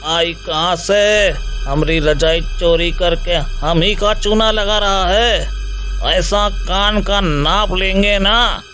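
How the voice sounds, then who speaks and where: A man acts out a grumpy, money-grubbing shopkeeper from a comic horror cartoon. His voice sits high and he speaks slowly in scolding, rustic idiom. Music plays clearly behind him. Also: pushed and bright, heavily acted. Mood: indignant. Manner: scolding, colloquial rustic speech. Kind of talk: animated Hindi comic horror story acting. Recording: background music throughout.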